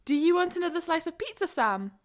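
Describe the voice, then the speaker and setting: A woman reading scripted everyday dialogue in an English lesson, calm and matter-of-fact. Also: extremely high pitch, fast.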